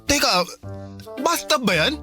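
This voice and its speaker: A man's voice: very high-pitched, sing-song, measured pace, pushed and bright, soft background music, heavily acted. An actor playing a shy, insecure young man, hesitant and stammering, in a radio romance drama. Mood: impressed.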